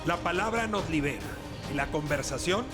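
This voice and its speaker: A man reading a scripted show introduction in a deliberate, theatrical manner. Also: fairly high pitch, lively intonation. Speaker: show narrator. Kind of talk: scripted, aphoristic intro narration. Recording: loud music under narration. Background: music.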